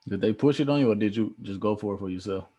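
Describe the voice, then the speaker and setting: A man interviewing a guest, asking short, quick questions. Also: lowish voice, warm tone, muffled recording.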